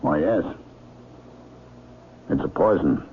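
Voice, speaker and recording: An actor playing a genial, loyal doctor with a hearty, informal way of talking in a radio mystery. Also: male voice, low-pitched, takes it slowly. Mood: concerned. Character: genial, loyal male doctor, old schoolmate of suspect. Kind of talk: radio mystery drama acting. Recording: tinny, faintly noisy old radio recording.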